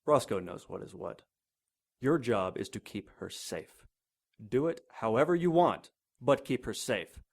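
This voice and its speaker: Gravelly, commanding older rich man. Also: medium pitch, big pitch swings, unhurried, full character voice. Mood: stern. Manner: curt, imperious, formal. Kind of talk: 1930s noir audio drama acting.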